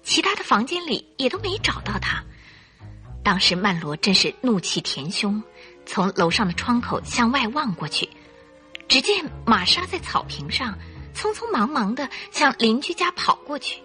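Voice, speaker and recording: A woman narrating a radio drama in an expressive, suspenseful storytelling style. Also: fairly high pitch, normal speed, faint music behind.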